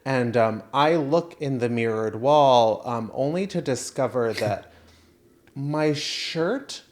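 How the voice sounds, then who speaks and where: A man's voice: mid-range voice, animated, takes it slowly. A man co-hosting a podcast in casual comedic banter, telling stories in a playful, self-mocking way. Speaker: podcast co-host.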